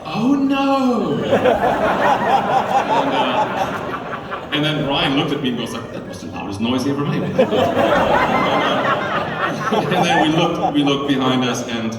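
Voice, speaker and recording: A man's voice: fairly high pitch, lively intonation, takes it slowly, pauses often, distant mic, a loud crowd. German drummer guy chatting between songs, loose and laughing, roomy live hall with noise. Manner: casual, rambling, laughing while telling a story. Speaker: band drummer. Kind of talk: onstage storytelling between songs. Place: concert venue. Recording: echoey live hall, mic from afar.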